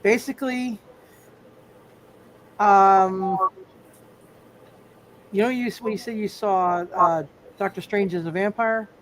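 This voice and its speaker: A man acting as game master of a superhero roleplay, halting and often repeating his phrases as he improvises. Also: high-pitched, fairly even intonation, rough recording. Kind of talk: live tabletop superhero roleplay, improvised narration.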